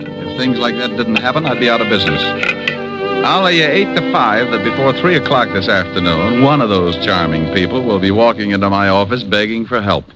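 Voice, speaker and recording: A man voices a wisecracking radio gumshoe, world-weary and sly. His low voice swings up and down as he talks, with music close behind. It sounds like an old time radio recording. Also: expressive, fairly quick, lightly acted, poor audio. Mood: wry. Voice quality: dry wisecracking delivery. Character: wisecracking male private eye, world-weary. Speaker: private detective. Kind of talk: 1950s radio drama acting.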